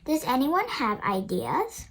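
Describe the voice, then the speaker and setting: A girl of about nine voicing a kindly woman schoolteacher in a storybook, measured and instructive. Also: sits high, sing-song, creaky, a bit bright, husky, full character voice. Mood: encouraging. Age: child, about nine.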